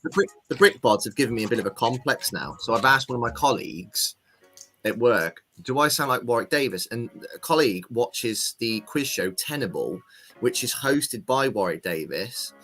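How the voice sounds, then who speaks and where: A man's voice: medium pitch, expressive, normal speed, a bit bright, soft background music. A man co-hosting a livestream chat, joking and self-deprecating. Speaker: co-host.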